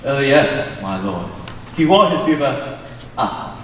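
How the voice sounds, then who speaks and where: A young man plays an earnest, formal courtier in Shakespearean style. His grand declamation is highly animated. It carries from a distance through a very echoey auditorium with faint noise. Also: slow pace. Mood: solemn, urgent. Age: young adult. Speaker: student stage actor. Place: large indoor school auditorium, on stage.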